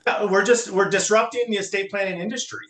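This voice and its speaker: A man's voice: high-pitched, steady tone, fast, fairly bright tone, roomy sound. A man who is losing his voice, speaking energetically as a guest on a call. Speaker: guest entrepreneur. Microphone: remote call.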